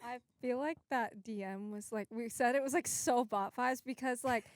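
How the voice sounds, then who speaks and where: Playful young woman with a high voice. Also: moderate pace, no room sound, studio quality. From United States. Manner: laughs a lot, playful. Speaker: pop group member. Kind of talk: casual interview chat. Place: radio studio.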